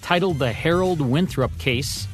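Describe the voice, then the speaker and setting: A man's voice: a little high, unhurried, faint music behind. A man co-hosting a podcast, reading scripted narration about radio history. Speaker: podcast co-host.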